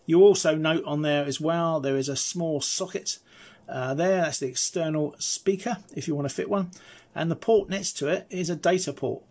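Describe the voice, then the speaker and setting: A man's voice: a little high, moderate pace, darkish tone. A middle-aged man giving a casual, chatty, explanatory hands-on review as a presenter. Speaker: presenter reviewing radio gear.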